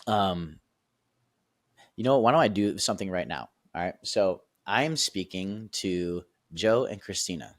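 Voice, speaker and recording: Male performance coach, deep voice, dry close recording, lively teaching tone. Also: unhurried, dry recording. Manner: energetic, repeats "right?" and "okay?" often. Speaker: sales and performance coach, guest. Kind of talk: casual teaching explanation.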